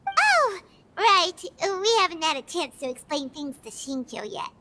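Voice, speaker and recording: A woman voicing a small, cheerful, chatty childlike companion creature with a squeaky voice in a video game. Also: huge pitch swings, measured pace, sharp tone, a little hiss or hum, heavily acted. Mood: curious.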